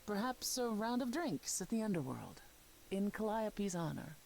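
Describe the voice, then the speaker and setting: An actress playing a proud, composed goddess in a video game, speaking formally with a dry, deliberate manner. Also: female voice, mid-range voice, very animated, vocal fry, a little mellow, poor audio. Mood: measured, sincere. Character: composed, proud goddess, sardonic sister and former leader.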